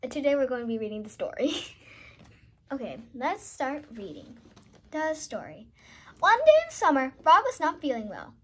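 High-pitched female storybook narrator. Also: big pitch swings, normal speed, fairly bright tone. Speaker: host and narrator. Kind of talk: children's storybook read-aloud.

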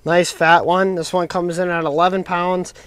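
A young man giving excited, casual running commentary while fishing from a dock on a lake. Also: high-pitched, moderate pace, slight background noise. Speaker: fishing vlogger. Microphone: action camera mic, sometimes head-mounted.

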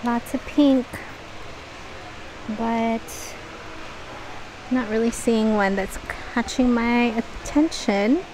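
Slow-talking, higher-voiced woman vlogging while shopping. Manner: chatty, thinking aloud as she browses. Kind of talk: casual shop-with-me vlog chat. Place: inside busy stores and a mall. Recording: noisy public stores and mall ambience. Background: shop and mall background noise.

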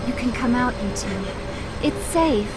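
A woman playing a gentle, motherly game sorceress who speaks tenderly and reassuringly. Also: on the high side, animated, measured pace. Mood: tender, soothing. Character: gentle, motherly sorceress soothing frightened children. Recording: loud music behind the voice.

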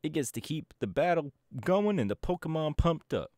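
A man's voice: a little high, sing-song, moderate pace, a little mellow, no room sound, clean studio sound. A man voicing a cocky young male DJ who leads a gang, boasting and talking straight to the listener in an audio roleplay. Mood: boastful. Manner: boastful, swaggering, talks to the listener. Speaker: Team Star leader in a roleplay.

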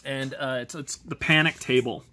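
A man's voice: medium pitch, steady tone, normal speed, pushed and bright, a little hiss or hum. A man giving a casual, off-the-cuff game review as an amateur host, rambling, enthusiastic and self-deprecating. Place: home garage.

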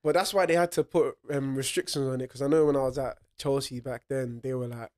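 A young man from Ireland hosts a casual podcast chat, laid-back and slangy, tossing in quick reactions. His voice sits in an ordinary middle range, close on a desk mic in a small studio. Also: medium pitch, fairly even intonation, a little mellow. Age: young adult. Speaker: podcast host. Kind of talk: casual interview. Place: small studio with wooden shelves. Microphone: desk-mounted podcast mic.

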